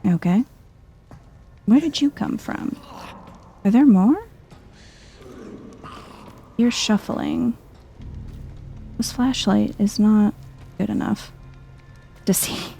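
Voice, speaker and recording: A soft-spoken woman giving hushed, chill ASMR-style video game commentary, thinking aloud to herself. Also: medium pitch, extremely slow, gravelly, pauses often, faint music behind.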